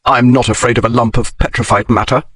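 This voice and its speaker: A man voicing a proud gentleman adventurer who hides his unease, in audiobook dialogue. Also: medium pitch, lively intonation, normal speed, no room sound, natural voice. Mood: stiff, defensive. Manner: clipped, stiff, cool.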